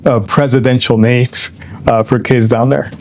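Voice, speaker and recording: A man's voice: mid-range voice, steady tone, measured pace, darkish tone, slight background noise. An older man from Ecuador, interviewed as a guest, speaking reflectively with many fillers and restarts and dropping in Spanish phrases.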